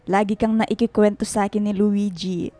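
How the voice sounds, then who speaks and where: A woman playing a sociable, playful thirty-year-old businesswoman in a radio drama, gushing in drawn-out Taglish with a Filipino accent. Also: medium pitch, steady tone, warm tone, no room sound, soft background music, lightly acted. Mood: breezy, teasing. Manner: drawn-out, gushing, English-peppered Taglish speech.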